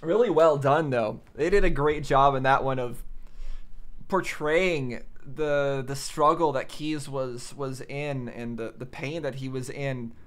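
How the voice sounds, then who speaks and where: A man giving casual reaction commentary, thinking aloud in a halting way and repeating phrases. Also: medium pitch. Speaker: reaction video host.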